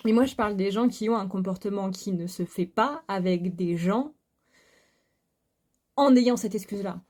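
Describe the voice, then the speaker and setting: Female vlogger, casual monologue, frank, filler-heavy, soft dark timbre. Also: medium pitch, normal speed. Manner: chatty, frank, many fillers and restarts. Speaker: spiritual YouTuber. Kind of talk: casual talking-to-camera monologue. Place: home, vacuum cleaner running nearby.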